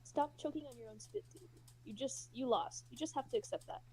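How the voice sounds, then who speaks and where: Woman voicing a soft-toned, easygoing joker guy. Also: high-pitched, fairly quick, voice pushed up, close to an everyday voice, rough recording. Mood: blunt. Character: easygoing, joking, laid-back young man.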